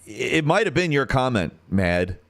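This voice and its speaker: A man with a deep radio-style voice and an American accent, hosting a casual livestream and thinking out loud as he searches for words. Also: big pitch swings, unhurried. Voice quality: turns nasal when singing high.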